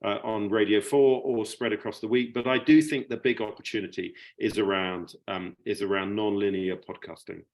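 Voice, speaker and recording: A man's voice: lowish voice, fairly even intonation, moderate pace, few pauses. A middle-aged man in an informal panel discussion, speaking hesitantly and often repeating his words. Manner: hesitant, stammering repetitions of words. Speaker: media producer, panellist.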